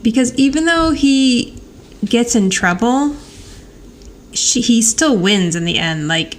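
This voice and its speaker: A woman's voice: a little high, animated, takes it slowly, poor audio. A woman co-hosting a podcast in a casual, chatty way. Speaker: podcast co-host. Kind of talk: casual chat. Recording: faint background noise.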